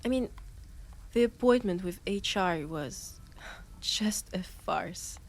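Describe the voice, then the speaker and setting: A young woman with a French accent playing a laid-off space miner in an audio roleplay, chatting hesitantly with her best friend and trailing off into pauses. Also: medium pitch, lively intonation. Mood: rueful.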